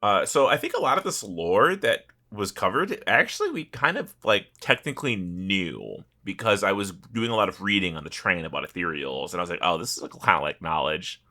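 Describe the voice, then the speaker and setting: Man, lower-pitched, bright voice, animated, swooping intonation, casual comedic podcast banter, swears freely. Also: fairly quick, hardly any pauses. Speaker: podcast host.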